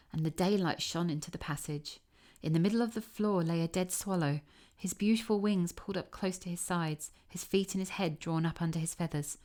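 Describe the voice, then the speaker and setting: Woman reading a fairy tale out loud, kinda low voice, expressive storyteller. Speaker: storybook narrator. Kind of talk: read-aloud fairy tale narration.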